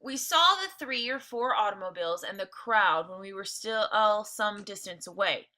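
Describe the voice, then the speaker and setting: A woman's voice: mid-range voice, normal speed, sharp tone. A woman teacher reading a novel aloud expressively, breaking off for informal explanatory asides. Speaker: teacher reading along with listeners.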